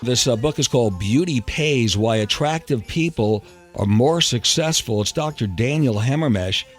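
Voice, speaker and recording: A man's voice: medium pitch, animated, normal speed, soft background music. A man hosting a talk radio show, speaking and asking questions in a conversational way.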